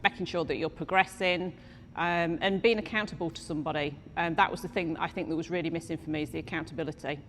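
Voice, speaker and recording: A woman in her fifties, a gym member giving an interview in a brisk, matter-of-fact way. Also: low voice, fairly even intonation, fairly bright tone. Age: 50s. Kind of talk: casual interview chat. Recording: reverberant room, faint noise.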